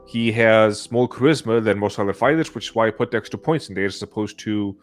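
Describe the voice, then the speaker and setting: A man's voice: on the low side, fairly quick. A man chatting casually about roleplaying games, correcting himself as he feels his way through a thought. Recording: faint music in the background. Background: faint music.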